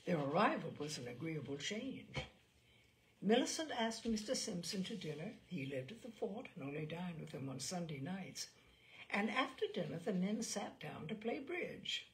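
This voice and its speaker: A woman reading literary fiction aloud as a storyteller, in a measured, expressive audiobook style. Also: on the low side, poor audio.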